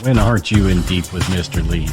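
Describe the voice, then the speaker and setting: A man's voice: sits low, a little fast, music in the background, lightly acted. An actor playing a matter-of-fact henchman who handles refugees in an audio drama.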